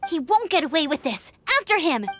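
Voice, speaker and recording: A woman voicing an upbeat, confident young female mentor in a video game, with a bright, youthful, eager delivery. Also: high-pitched, sing-song, a little fast, a little hiss or hum, exaggerated voice. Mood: determined. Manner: peppy, eager, emphatic. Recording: music behind the voice.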